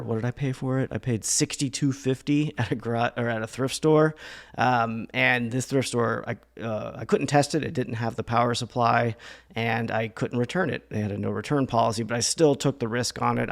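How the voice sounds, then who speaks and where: A man talking casually to his viewers in a rambling, chatty way, his voice hoarse and nearly gone. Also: medium pitch. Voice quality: gravelly. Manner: rambling, chatty, many fillers and restarts. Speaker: reseller vlogger. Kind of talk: casual talk to camera.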